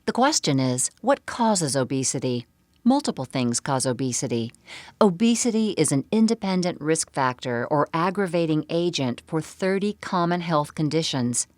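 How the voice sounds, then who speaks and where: Woman, low pitch, dry close sound, scripted seminar narration, measured explanatory delivery. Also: very animated, darkish tone, no room sound. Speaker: presenter of a bariatric surgery program.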